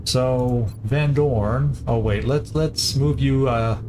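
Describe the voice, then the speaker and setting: A man's voice: medium pitch, slow, background noise. A man commentating a strategy game, thinking aloud with hesitant restarts as he plans each move. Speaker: gameplay commentator. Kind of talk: casual let's-play commentary. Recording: game music playing behind.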